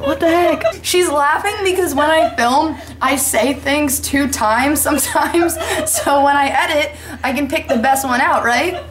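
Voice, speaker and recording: A woman's voice: high voice, a little slow, hardly any pauses, fairly bright tone, slight background noise. A young woman under twenty-one, chatting and laughing as she films a casual travel vlog on a handheld camera. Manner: giggly, chatty, often laughing. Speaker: vlogger. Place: hotel room and streets.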